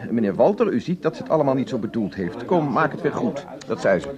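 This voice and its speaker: A man's voice: medium pitch, very animated, fast talker, crowd noise, lightly acted. An actor playing an aggrieved, long-winded, bookish man telling his troubles in a radio play. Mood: aggrieved, wordy. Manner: wordy, indignant storytelling with quotations.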